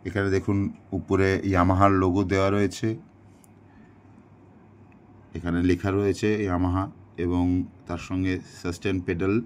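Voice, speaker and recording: A man's voice: low-pitched, level tone, moderate pace, pauses often, slight background noise. A man casually narrating an unboxing in an explanatory way, mixing in English phrases. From India. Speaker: product unboxing presenter.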